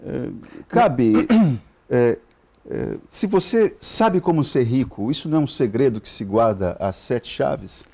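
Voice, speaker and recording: A man's voice: medium pitch, animated, slow, creaky, dark tone. A male TV host interviewing a guest in a studio, talking with many fillers and restarts. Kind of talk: live TV interview.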